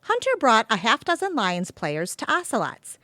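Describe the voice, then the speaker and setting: An actress playing a perky, friendly female bookstore clerk in a comic audio drama, playful and animated. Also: on the high side, moderate pace, dry recording, full character voice. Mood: friendly, curious.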